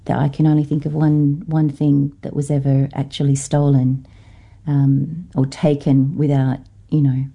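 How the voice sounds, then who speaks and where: A woman from Norfolk Island with an Australian-influenced accent, a guest academic in a radio interview, speaking thoughtfully with many pauses and fillers. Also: low-pitched, little pitch movement, vocal fry, mellow, slightly rough, dull audio.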